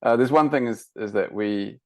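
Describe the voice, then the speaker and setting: A man with a New Zealand accent, guest on a travel podcast, explaining at length in a relaxed way with many fillers and restarts. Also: fairly low pitch, normal speed, no room sound. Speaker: guest, mountain monk trainer. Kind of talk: casual interview.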